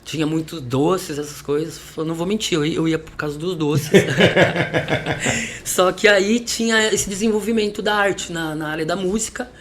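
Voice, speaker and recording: Chatty male podcast guest, fairly high voice. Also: a little slow, a bit bright, slight background noise. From Salvador, Brazil. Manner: chatty, reflective storytelling with light laughs. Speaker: musician and vocal coach guest. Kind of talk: podcast interview.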